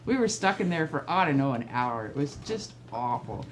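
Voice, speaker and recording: A middle-aged woman casually telling comic anecdotes, acting out both sides of conversations in an animated way. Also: rumbling low, normal speed. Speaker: guest storyteller, mother of one of the crew. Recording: clearly noisy room ambience.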